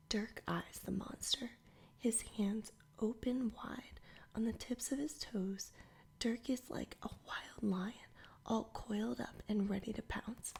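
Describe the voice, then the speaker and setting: A woman reading a children's adventure story aloud entirely in a soft whisper, in ASMR style. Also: medium pitch, gravelly, breathy edge. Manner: whispers throughout. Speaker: storybook reader.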